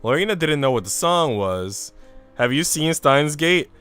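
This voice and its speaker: A man's voice: a little high, lively intonation, measured pace, fairly bright tone, smooth, dry recording, faint music behind. A man streaming a visual novel, switching between casual, slangy chat and reading the story aloud. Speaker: game streamer.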